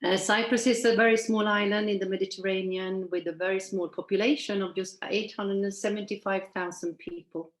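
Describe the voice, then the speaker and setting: A woman in her sixties, originally from Sweden, talks through a slideshow in an earnest, measured way. She pauses and hesitates now and then. Her voice sits at an ordinary middle pitch and sounds echoey, as if picked up in a bare room over a video call. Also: steady tone. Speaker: presenter at an online event. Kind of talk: presentation from shared slides.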